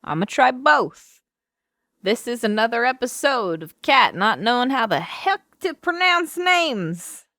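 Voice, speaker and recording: A woman's voice: on the high side, sing-song, a little slow, bright, forceful tone, dry recording, studio quality. A woman who does amateur voice acting, casually mocking her own stumbles between takes. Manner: self-mocking, stumbling over words. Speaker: amateur voice actor. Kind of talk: rehearsing lines with casual outtakes.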